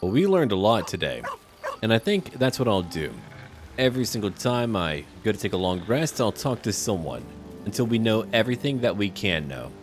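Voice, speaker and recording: A man with a low voice commenting casually on a role-playing game. His delivery is laid-back and musing but varies a lot in pitch, with faint music behind him. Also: sing-song, normal speed. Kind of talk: casual game commentary, speaking dialogue choices aloud.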